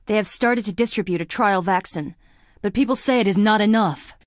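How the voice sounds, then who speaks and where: A woman's voice: mid-range voice, fairly quick, no echo, studio quality, natural voice. An actress playing a frail, melancholy young woman in a plague-stricken town, delivering dramatic video game lines in a wistful, languid, theatrical way. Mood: earnest, uneasy.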